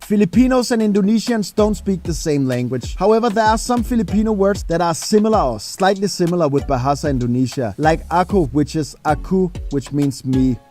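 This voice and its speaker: High, mellow-voiced male narrator. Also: animated, a little fast, hardly any pauses, faint music behind. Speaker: video narrator. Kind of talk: scripted voice-over narration.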